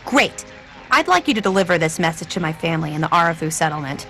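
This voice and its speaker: A woman's voice: low-pitched, sing-song, quick pace, fairly bright tone, soft background music, full character voice, low-quality recording. A woman acting a casual, frank townswoman in a video game, talking informally and earnestly to a stranger. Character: casual, worried townswoman asking a stranger a favour.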